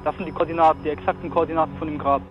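A man calls in anonymously, agitated and urgent. His voice is high and very fast, coming through a dull phone line. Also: flat delivery, music in the background. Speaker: anonymous emergency caller. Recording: muffled emergency phone line.

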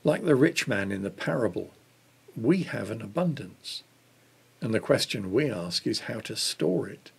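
An older man reads a devotional text aloud in a measured manner. His voice is fairly low and husky, with a strong creak running through it. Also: expressive. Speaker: reader of a church Lent devotional. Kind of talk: reading a devotional book aloud.

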